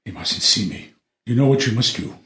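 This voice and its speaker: A man's voice: mid-range voice, fairly quick, sharp tone, lightly acted. A man playing a sorrowful, anxious old king in a play reading, grave and formal as he laments. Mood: uneasy. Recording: roomy, echoey live room.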